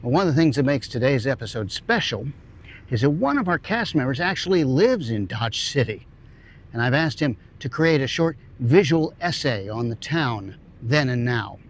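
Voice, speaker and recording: A man's voice: medium pitch, big pitch swings, normal speed. A man presenting a scripted, folksy introduction as the host of an audio drama show.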